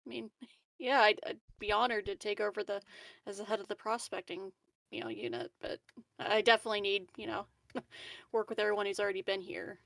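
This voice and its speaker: A woman's voice: mid-range voice, expressive, vocal fry, a bit bright, no room sound. Giggly woman in casual game chat. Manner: giggly, halting, good-humored. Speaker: roleplaying game player. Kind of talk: casual tabletop game chat, lightly in character.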